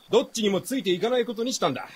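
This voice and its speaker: An actor playing a breezy, cocky, free-spirited young man with a husky voice in a radio drama. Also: high-pitched, quick pace. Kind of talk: Japanese radio drama acting.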